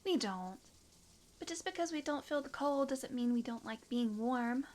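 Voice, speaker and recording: A woman's voice: high voice, animated, normal speed, a little mellow. A woman playing a sardonic, predatory, centuries-old female vampire in a scripted audio roleplay, with dry, teasing sarcasm and grudging fondness for the listener. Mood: wry, teasing.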